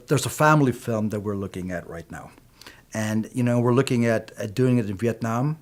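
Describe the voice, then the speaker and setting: A middle-aged man from Peru whose first language is Spanish, answering interview questions as a film producer and distributor in a measured, reflective way, often adding "you know". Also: lowish voice.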